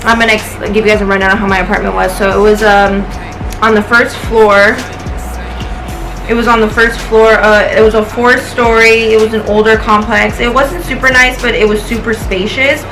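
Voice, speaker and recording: A woman's voice: on the high side, level tone, moderate pace, rough recording. Chatty young American woman rambling over music. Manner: informal, rambling, slangy and sweary. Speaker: vlogger telling a personal story. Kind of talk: casual, chatty storytime.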